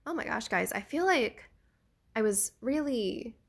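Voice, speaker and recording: A woman's voice: on the high side, expressive, a little slow, vocal fry. A young woman hosting a solo podcast, chatting casually with many fillers while congested and a bit nasal. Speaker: podcast host; theater actor.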